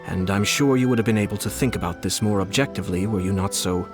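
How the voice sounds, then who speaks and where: A composed noble commissioner, played by a man in a game cutscene, low and unhurried. He weighs every word with courteous calculation. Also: over music, lightly acted. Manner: measured, polite and calculating. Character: calm, scheming young male noble commissioner. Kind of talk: video game cutscene dialogue.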